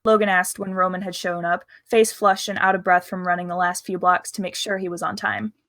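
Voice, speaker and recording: A woman's voice: on the low side, flat delivery, fairly quick, a bit bright, no room sound, studio quality. A woman narrating scripted fan fiction as a storyteller.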